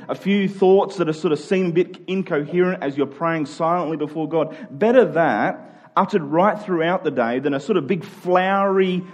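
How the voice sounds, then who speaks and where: Higher-pitched man preaching conversationally. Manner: informal and plain-spoken, repeats phrases for emphasis. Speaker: pastor preaching to a congregation. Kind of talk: sermon, conversational expository preaching.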